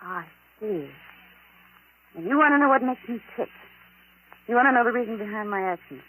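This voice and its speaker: A woman's voice: mid-range voice, animated, moderate pace, slightly rough, phone-quality sound. A woman playing a despairing, wary young woman in 1940s radio drama, curt and sardonic, on a vintage recording. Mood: bitter, sardonic.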